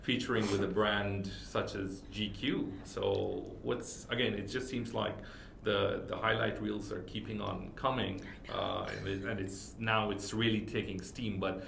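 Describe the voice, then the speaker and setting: A man hosting a podcast interview, speaking haltingly and repeating words, with loud laughs. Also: steady tone, creaky. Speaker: podcast host. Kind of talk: casual interview. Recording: roomy with faint rumble.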